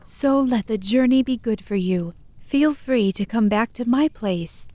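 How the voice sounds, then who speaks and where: A woman playing a kind young fairy-tale maiden in a children's storybook cartoon, speaking gently and with measured care. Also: a little high, dry recording, close to an everyday voice. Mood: kindly, helpful.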